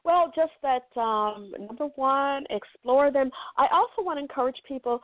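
A hoarse woman speaking as a guest in an interview, talking about her genealogy work with emphatic enthusiasm. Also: sits high, moderate pace, no echo. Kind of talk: interview answers. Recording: tinny phone line, dry.

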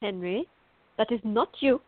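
A woman's voice: on the high side, measured pace, no room sound. A woman playing a fiery, stubborn, teasing young artist in a radio drama, with an emphatic, wry way of contradicting. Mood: insistent. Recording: thin, phone-like band-limited audio.

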